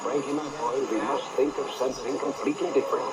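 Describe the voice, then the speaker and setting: An actor playing a suave, calculating criminal professor in a 1940s radio crime drama, speaking in deliberate, formal phrases. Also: male voice, mid-range voice, fairly even intonation, close to an everyday voice. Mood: scheming. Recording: dull, noisy old radio broadcast over AM.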